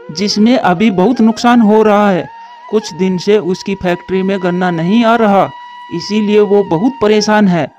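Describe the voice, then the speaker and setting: Man voicing an earnest high-pitched cartoon crow. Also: darkish tone, muffled recording. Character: helpful, dutiful male crow in a children's fable. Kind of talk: Hindi cartoon voice acting.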